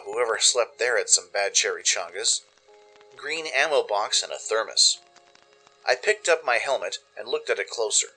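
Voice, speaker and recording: A man narrating a first-person dramatic story for a radio play, with measured, expressive delivery. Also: lowish voice, rough recording. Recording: music under the narration. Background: music.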